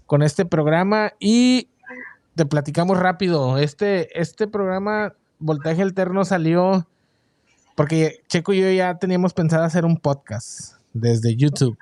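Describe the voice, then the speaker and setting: A man hosting an online radio show and chatting in a relaxed, slangy, joking way. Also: on the high side, dry recording, clean studio sound. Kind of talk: casual online radio interview.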